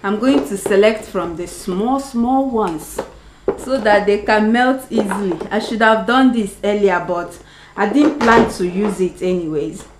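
Young Nigerian-accented woman, cooking vlogger; slow, chatty delivery, recorded on camera in an echoey kitchen. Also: mid-range voice. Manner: chatty, talks to viewers as she works. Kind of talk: casual cooking demonstration. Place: home kitchen, indoors. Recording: echoey kitchen with clear background noise.

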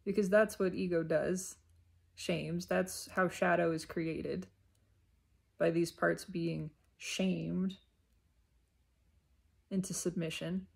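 A woman speaks in an unhurried, reflective monologue, as if thinking aloud. Her voice is husky with a lot of fry at the edges. She breaks her thoughts into short phrases and repeats them deliberately. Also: lowish voice, darkish tone. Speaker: self-reflective spiritual vlogger. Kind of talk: unscripted reflective spiritual monologue.

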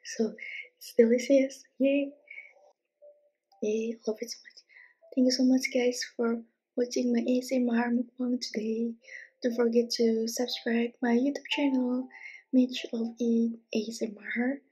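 A young woman speaks to her viewers in a soft ASMR style. Her voice is breathy and fairly high, with little rise and fall, often slipping toward a whisper. She is recorded up close. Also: flat delivery, measured pace, poor audio. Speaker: mukbang host. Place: small indoor living room, at a table.

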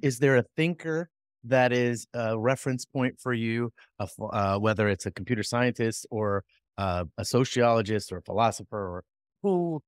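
A man's voice: mid-range voice, normal speed, no room sound, studio quality. A man in his forties hosting a video call interview over a headset, asking questions with many hesitant fillers. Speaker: interview host. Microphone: over-ear headset.

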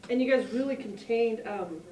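A woman's voice: a little high, moderate pace, dark tone. A woman reporter asking players chatty, rambling questions at a press conference. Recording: roomy, distant off-camera mic.

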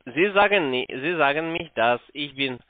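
Male telesales caller, non-native with foreign accent and broken, insistent delivery; fairly high, lively pitch, narrowband line. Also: no echo. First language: not German. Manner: halting broken German, insistent. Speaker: call-centre telephone salesman. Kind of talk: unscripted sales phone call. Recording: muffled narrowband phone line.

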